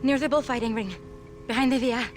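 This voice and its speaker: A woman's voice: on the high side, fairly even intonation, fast talker, faint music behind, natural voice. An actress playing a fervent, fearful Mexican townswoman who speaks ominously, in a video game. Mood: urgent, evasive. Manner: fervent, dramatic. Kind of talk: video game cutscene acting.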